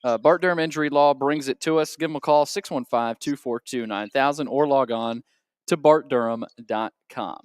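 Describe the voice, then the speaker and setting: A man's voice: medium pitch, moderate pace, clean tone, no room sound, clean studio sound. An American man co-hosting a radio show in a casual, conversational sports talk style. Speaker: radio co-host.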